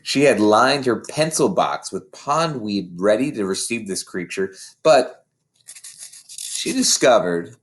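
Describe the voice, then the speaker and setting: Man reading a children's story, very lively pitch. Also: slow. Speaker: story reader. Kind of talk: children's audiobook read aloud.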